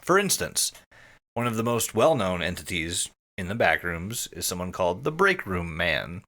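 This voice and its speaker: A man talks in a casual, joking podcast style with a bright, forceful edge. His pitch sits a little low but moves around a lot, and he swears easily as he goes. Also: sing-song, moderate pace. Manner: profane, joking. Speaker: podcast co-host. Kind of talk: casual comedic podcast chat.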